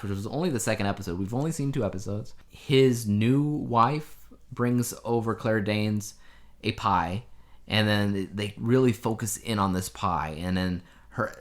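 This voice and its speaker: A man co-hosting a podcast in casual chat, telling things in a conversational way with emphatic asides. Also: fairly low pitch. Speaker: podcast co-host. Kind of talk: casual chat reviewing a TV show.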